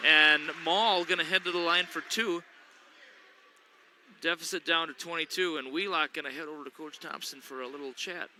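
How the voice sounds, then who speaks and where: A man's voice: a little high, fairly even intonation, normal speed, sharp tone, slight background noise. A man doing chatty, joking play-by-play of a local school basketball game from the gym. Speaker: play-by-play announcer. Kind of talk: local sports commentary. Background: gym ambience, bouncing basketball.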